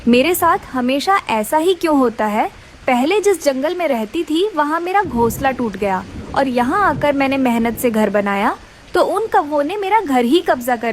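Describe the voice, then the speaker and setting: A woman's voice: high voice, lively intonation, moderate pace, slight background noise. A woman voicing an earnest, plaintive young female bird in a children's cartoon. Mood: despairing. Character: distressed, hardworking, polite young female bird. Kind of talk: Hindi children's cartoon character voice.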